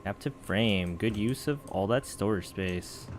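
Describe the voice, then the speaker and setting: Low-voiced man joking over gameplay. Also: a little slow, a little hiss or hum. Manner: joking, muttering to himself. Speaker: gameplay streamer. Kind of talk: casual gameplay commentary.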